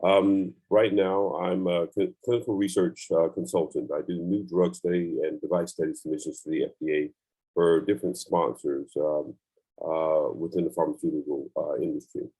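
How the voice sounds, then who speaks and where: An older man introducing himself on a video call, halting with many fillers. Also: deep voice, flat delivery, warm tone, breathy edge, rough recording. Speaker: alumnus speaker. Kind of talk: virtual panel introduction.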